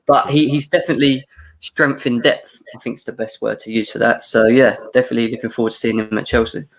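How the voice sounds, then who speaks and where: A man's voice: medium pitch, fairly even intonation, creaky, poor audio. A man on a football podcast panel, talking in a casual, rambling way and restarting his sentences over a video call. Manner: fast, rambling, repeats words.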